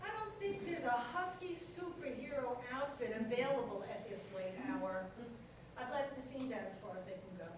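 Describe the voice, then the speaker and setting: A woman's voice: a little high, animated, normal speed, recorded from a distance, natural voice. A woman playing a practical, nagging companion to a superhero, dry and scolding, in a staged comedy play reading. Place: echoey room at a live staged reading. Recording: very echoey hall from afar, clear noise.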